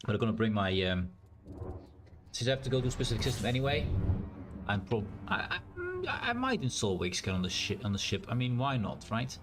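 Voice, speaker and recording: A man with a naturally loud voice and a strained, sore throat, thinking aloud in a rambling way as he streams a game. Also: medium pitch, very animated, brisk. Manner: rambling, thinks aloud with fillers and self-corrections. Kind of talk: casual live-stream gameplay commentary.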